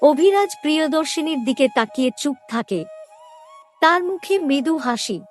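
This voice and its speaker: High-voiced woman narrating a story. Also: animated, normal speed. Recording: music under the voice.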